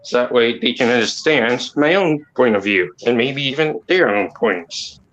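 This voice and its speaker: A man with an accent, talking quietly and haltingly in a casual call discussion as the host, rambling and restarting his sentences. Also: mid-range voice, highs cut off. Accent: non-native or regional accent. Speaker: channel host.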